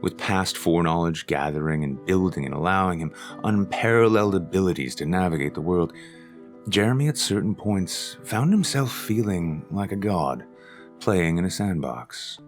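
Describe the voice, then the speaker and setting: A man with a very low voice reads a short story in a measured, deliberate way, like a polished audiobook. Soft plucked guitar sits faintly underneath his narration. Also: animated. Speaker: author reading his own short story.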